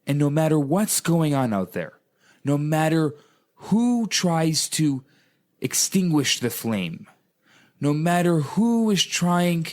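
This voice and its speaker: A man's voice: a little high, darkish tone. A man, a rabbi explaining earnestly in a radio interview, using emphatic repetition. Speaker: rabbi and co-host.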